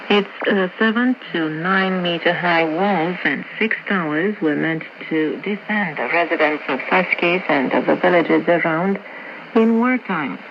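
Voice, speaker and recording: A radio presenter reading scripted travel narration, heard over shortwave radio. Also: mid-range voice. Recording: noisy, muffled shortwave AM radio reception.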